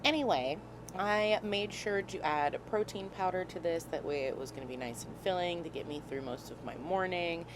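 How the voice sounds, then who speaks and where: Woman, low voice, hoarse from a cold, chatty, self-mocking, vlog host, noisy background. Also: moderate pace. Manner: chatty and self-mocking, laughs at her own clumsiness. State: slightly hoarse from a cold, scratchy throat. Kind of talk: casual cooking vlog narration. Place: small RV kitchen. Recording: noticeable background noise.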